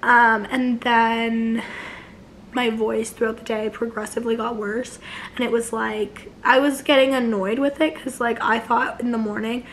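A young woman in her twenties with a hoarse, failing voice, chatting casually to camera from her couch, rambling with many restarts. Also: on the high side, little pitch movement, measured pace, slight background noise, low-quality recording. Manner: rambling, many likes and restarts, gestures a lot. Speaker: vlogger. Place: living room couch, indoors.

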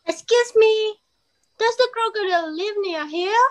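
A curious, polite baby elephant acted by a young woman in a readers theater. The voice is very high and childlike, and it asks its questions slowly, stretching out the words. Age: young adult. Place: room at home, on video call. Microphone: video call microphone.

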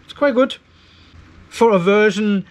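A man's voice: high voice, measured pace. An older man hosting a casual tech vlog, thinking aloud as he explains readings in an informal way. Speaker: host of an off-grid battery testing channel. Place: cluttered indoor garage workshop.

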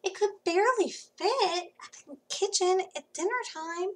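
A woman in her thirties reading a children's storybook aloud expressively, with dramatic pauses and hushed emphasis, recorded on a computer mic in a small room at home. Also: very high-pitched. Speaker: children's book reader. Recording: faint background noise.

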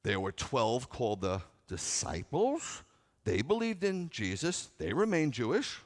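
A man's voice: mid-range voice, big pitch swings, measured pace. A middle-aged American man preaching as a rabbi, conversational and wry, leaning on repetition and rhetorical questions for emphasis. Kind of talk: sermon. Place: large sanctuary, at a pulpit before seated listeners. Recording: reverberant hall sound.

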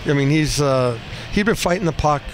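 A man in his fifties, a hockey coach speaking off the cuff in a postgame interview, blunt and halting, often repeating phrases. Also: fairly high pitch. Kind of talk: postgame interview, unscripted talk. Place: small cinder-block room indoors at a rink. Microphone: headset boom mic. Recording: noisy arena room, steady background din.

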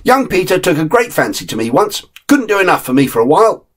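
A man's voice: high voice, sing-song, fast talker, pitched above the performer's own voice. A man voicing a friendly, streetwise male terrier who gives blunt, knowing advice.

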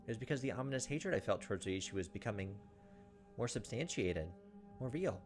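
Casting: male gaming host in his 30s, lowish voice, reading story text over faint music. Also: expressive, brisk. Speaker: gaming video host reading a visual novel aloud. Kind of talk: narrated let's play, dramatic reading with casual asides. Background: soft game music.

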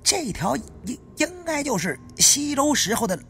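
A man voicing a flustered, curious male tomb raider with a halting stammer in an audiobook. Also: extremely high pitch, big pitch swings, bright, forceful tone, soft background music, voice pushed up, heavily acted. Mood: puzzled.

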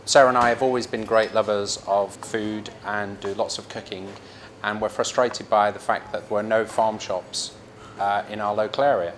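A middle-aged man talks about his farm shop in an earnest, measured way. His voice sits low and stays fairly flat. Clear background noise runs under the recording. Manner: earnest, measured, with frequent fillers. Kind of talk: promotional interview. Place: indoor shop by the cheese counter.

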